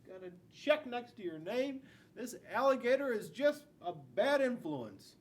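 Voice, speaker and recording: A man's voice: big pitch swings, measured pace. An American man in his forties reads a children's picture book aloud dramatically, putting questions to the listening children. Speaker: school resource police officer. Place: school classroom, indoors. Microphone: camera a few feet away. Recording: noticeable room noise with low rumble.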